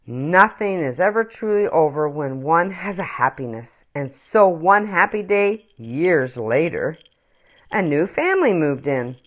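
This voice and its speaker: A woman reads a children's story aloud in a low-pitched voice. Her delivery is highly animated, rising and falling expressively, with dramatic pauses for effect. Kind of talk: children's picture book read-aloud.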